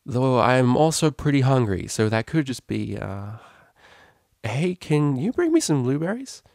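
A man's voice: mid-range voice, very animated, measured pace, vocal fry, darkish tone, no echo, clean studio sound, pitched above the performer's own voice. A man voicing a drunk, affectionate female unicorn DJ pony in a storybook reading, rambling and hesitant in informal speech. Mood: carefree.

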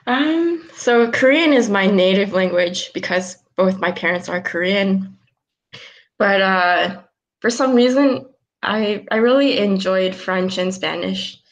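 Slow-talking woman in her twenties. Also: mid-range voice. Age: 20s. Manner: unhurried, with frequent ums and soft restarts. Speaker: guest, philosopher. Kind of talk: casual video-call interview. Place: small room at home.

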